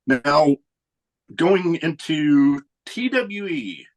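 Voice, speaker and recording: A man hosting a podcast, chatting in a relaxed, rambling way and often losing his train of thought. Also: on the high side, drawn-out words. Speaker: podcast host. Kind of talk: casual chat.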